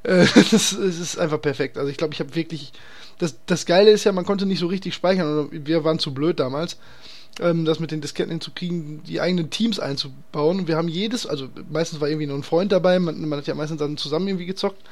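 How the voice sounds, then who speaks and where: A man's voice: on the high side, fast talker. A man hosting a casual podcast chat, talking in an enthusiastic, slangy and emphatic way. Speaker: podcast host.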